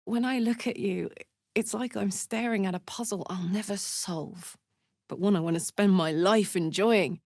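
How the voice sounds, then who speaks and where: An actress playing a brash, warm-hearted, battle-hardened tiefling barbarian woman in a video game, blunt, cheeky and earnest. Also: medium pitch, normal speed, dry recording, clean studio sound, lightly acted. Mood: tender, earnest. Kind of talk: scripted game character voice lines.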